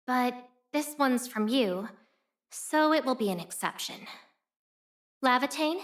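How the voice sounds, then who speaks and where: A woman's voice: high-pitched, animated, takes it slowly. A woman voicing a proud, blunt young female fire warrior for a video game, with cool, cocky, nonchalant delivery. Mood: fond, firm. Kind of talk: scripted game character voice lines.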